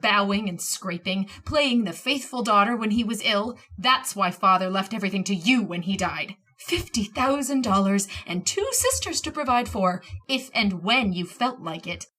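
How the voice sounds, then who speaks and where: An actress playing a cold, bitter, scheming sister in an old-time radio horror drama, with a mocking, insinuating manner. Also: female voice, medium pitch, animated, normal speed, few pauses, pushed and bright. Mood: sneering contempt.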